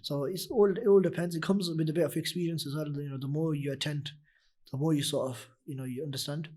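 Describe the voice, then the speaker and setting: A young man with a husky, deep voice, a nasheed reciter talking earnestly in a casual interview, full of "you know" fillers. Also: steady tone, fast, a little mellow. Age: young adult. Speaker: nasheed artist guest.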